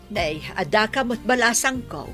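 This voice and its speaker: An actress playing a disapproving, inquisitive older village mother with a husky voice in a radio drama. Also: fairly high pitch, expressive, moderate pace, over music, natural voice. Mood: questioning, indignant.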